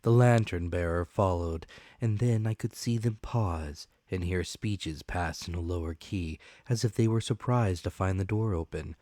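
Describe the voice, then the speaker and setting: Male audiobook narrator: low-pitched, dramatic scripted delivery, dry sound. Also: normal speed, warm tone, dry recording.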